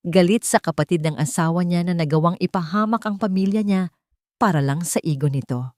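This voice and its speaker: Low-voiced woman narrating a drama. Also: darkish tone, dry recording, studio quality. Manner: measured, dramatic storytelling. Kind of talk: scripted audiobook narration.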